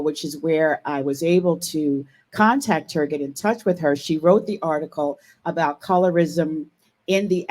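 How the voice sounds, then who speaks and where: A woman hosting a live online talk show, reading a long guest introduction in an upbeat, steady way. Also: on the low side. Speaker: show host.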